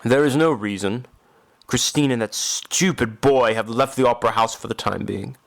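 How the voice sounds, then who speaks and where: A man playing a brooding, tormented reclusive composer in an audio drama, speaking formally and gravely with deliberate phrasing. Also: medium pitch, lightly acted. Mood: resolute, cold.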